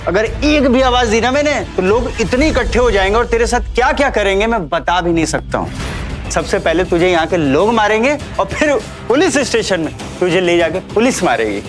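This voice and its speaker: A sneering shop salesman voiced by a man for an audio drama, pitched high, with faint music behind him. He is a mocking bully who talks in threats and contempt. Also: big pitch swings, brisk. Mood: threatening. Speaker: voice actor.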